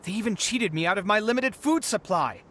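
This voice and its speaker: A man's voice: sits high, animated, fairly quick, bright, forceful tone, slight background noise. A man playing a harried young inventor in a video game, dramatic and put-upon but kind-hearted, with an exasperated manner. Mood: upset, complaining. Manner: exasperated, theatrical, sighing. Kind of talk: animated video game character acting.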